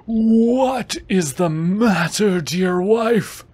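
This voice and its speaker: A man plays a devoted, anxious husband from a fairy tale. He speaks in a raised, earnest voice with theatrical storybook phrasing at a measured pace. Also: sits high, a little mellow, husky, voice pushed up, exaggerated voice. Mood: concerned. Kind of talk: bedtime storybook character voice.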